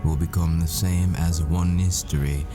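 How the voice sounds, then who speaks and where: A man playing a world-weary, foul-mouthed assassin boyfriend in a dramatic audio roleplay, brooding and deliberate in his storytelling. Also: low voice, steady tone, music in the background, natural voice. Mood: grave, solemn.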